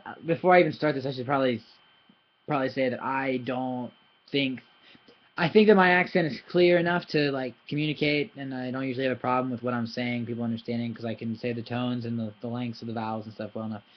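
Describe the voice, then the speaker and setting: A young man teaching a language in a casual video lesson, rambling and correcting himself, animated when he demonstrates sounds. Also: mid-range voice, fast talker, muffled recording. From foreigner in Thailand.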